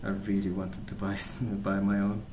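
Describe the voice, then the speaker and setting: A soft-spoken man hosts ASMR, rambling in a casual, hesitant way. His voice is fairly low with a lot of creak and very little melodic movement. The recording sounds roomy, with noticeable noise behind him. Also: completely flat delivery, normal speed. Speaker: ASMR video host.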